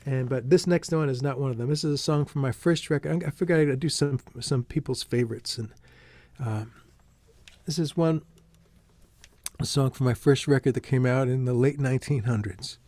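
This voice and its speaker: An American man from New Jersey, a folk singer-songwriter, introducing songs casually in a halting, self-effacing, understated way. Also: medium pitch, darkish tone, no echo. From New Jersey, United States. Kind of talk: casual song introductions between live songs. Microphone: stage microphone. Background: acoustic guitar.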